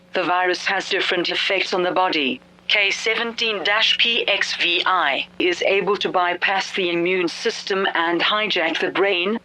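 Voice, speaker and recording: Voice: medium pitch, pushed and bright, poor audio. An announcer reading a scripted government emergency message in a formal, official manner.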